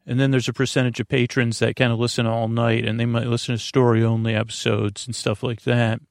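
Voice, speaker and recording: Creaky-voiced man rambling at bedtime. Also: mid-range voice, level tone, no echo, clean studio sound. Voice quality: gravelly, creaky. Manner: meandering, halting, self-interrupting, whimsical. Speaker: podcast host. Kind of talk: rambling bedtime sleep-podcast monologue.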